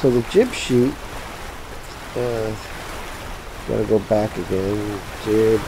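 A man presenting an unscripted how-to commentary, halting as he thinks aloud. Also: on the low side. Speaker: tutorial presenter. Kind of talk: unscripted how-to commentary over a sailing game. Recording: steady sea and water noise behind. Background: sailing game sounds of boat and water.

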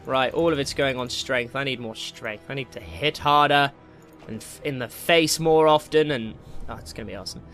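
A man giving casual, excitable and jokey let's play commentary as he hosts a gaming video. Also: mid-range voice, normal speed. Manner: excitable, jokey, mildly crude exclamations. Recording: game music behind the voice. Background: video game music.

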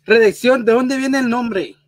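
A man's voice: very high-pitched, a little slow. A man hosting a video-call interview, asking brief, friendly questions. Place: home room indoors.

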